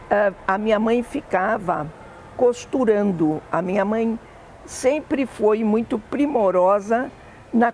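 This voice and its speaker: An older woman with a Brazilian Portuguese accent, fondly recalling family memories in an oral history interview, often pausing to correct herself. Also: medium pitch, darkish tone, a little hiss or hum. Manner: fond, meandering reminiscing with self-corrections.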